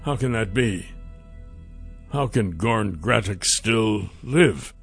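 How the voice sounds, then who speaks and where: Young dragon hero, hushed and worried, slow low-key delivery read by a male narrator. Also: lively intonation, gravelly, faint music behind, heavily acted.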